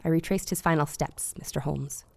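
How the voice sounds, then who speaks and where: An actress playing an earnest, eccentric Victorian woman doctor in an audio drama, telling things in a formal, deliberate way. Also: deep voice, fairly even intonation, creaky, no echo. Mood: solemn, confiding.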